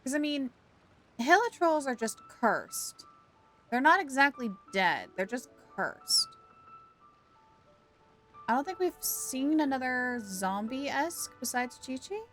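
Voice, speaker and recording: A woman streamer chats with an unhurried, drawn-out delivery and a lively, swooping voice. She thinks out loud as she plays. Faint game music runs behind her. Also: high-pitched. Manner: animated, chatty, thinks out loud. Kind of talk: casual live-stream commentary.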